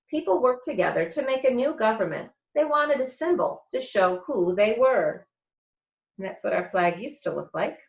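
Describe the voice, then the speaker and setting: Expressive American woman reading aloud, roomy sound. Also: a little high, lo-fi sound. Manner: expressive, deliberate, explanatory. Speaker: teacher reading aloud to second graders. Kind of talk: read-aloud of a children's picture book.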